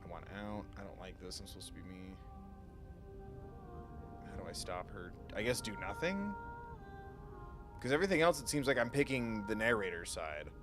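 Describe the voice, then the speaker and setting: A man's voice: on the low side, lively intonation, normal speed, long pauses. A man streaming a game live, chatting casually and muttering dialogue choices aloud. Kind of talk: live let's play, casual chat and reading choices aloud. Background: clear game music.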